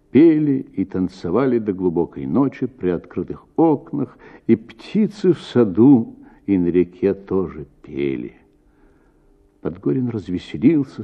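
A man reading a literary short story as an actor, with expressive, theatrical phrasing. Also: fairly low pitch, vocal fry, phone-quality sound. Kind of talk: expressive literary audiobook narration.

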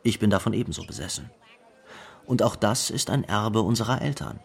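An actor playing the grieving brother of a dead singer, reminiscing thoughtfully in a radio play monologue. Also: male voice, lowish voice, animated, fairly quick, creaky, breathy edge, exaggerated voice. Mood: reflective, sad.

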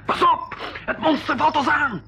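High-voiced, nervous young explorer. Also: male voice, moderate pace, vocal fry, background noise. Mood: frightened. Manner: anxious, questioning. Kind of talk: 1980s school radio play acting.

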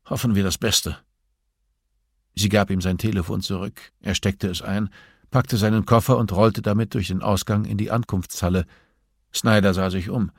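Male audiobook narrator for thriller prose: low register, husky texture, very dry close sound. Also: fairly even intonation, moderate pace, no echo.

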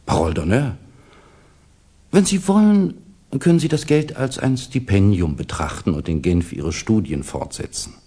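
A suave Russian official from a 1960s radio play. His voice is low and mellow with a husky edge. He swings through his phrases with smooth, calculated courtesy. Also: big pitch swings, normal speed. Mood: smooth, insinuating. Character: suave, insinuating Russian official recruiting an agent. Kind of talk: 1960s German radio drama acting.

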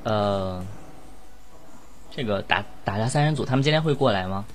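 Low-voiced man hosting a casual chat. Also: lively intonation, moderate pace, slight background noise. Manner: playful, teasing, rambling. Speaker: host and lead voice actor of an audio drama.

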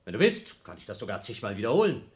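Sarcastic, animated astronaut man. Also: on the low side, quick pace. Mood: mocking, exasperated. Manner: sarcastic, accusatory, mocking. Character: Blob, agitated male astronaut, sarcastic and defensive. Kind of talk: German radio play acting.

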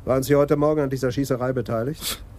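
A man's voice: medium pitch, fairly even intonation, quick pace, warm tone, lightly acted. A man playing a stern, methodical police adjutant in a radio crime drama, firm and matter-of-fact. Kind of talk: 1980s German radio play acting.

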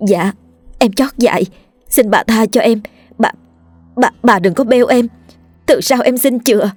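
A woman's voice: fairly high pitch, measured pace, darkish tone, no room sound, faint music behind, pitched above the performer's own voice. A woman voicing a terrified mistress begging for mercy in a tearful tone. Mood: pleading.

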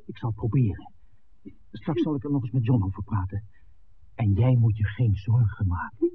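A man's voice: mid-range voice, animated, moderate pace, husky. Earnest young man on old radio. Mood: tender, soothing. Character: earnest young documentary film-maker, tender lover, defiant when cornered. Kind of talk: 1960s Dutch radio drama acting. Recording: narrow-band 1969 radio recording, faint hiss.

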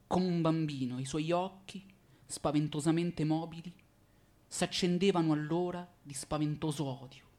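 An actor as a grave storyteller, reading scripted literary narration for a radio drama. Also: male voice, fairly high pitch, close to an everyday voice.

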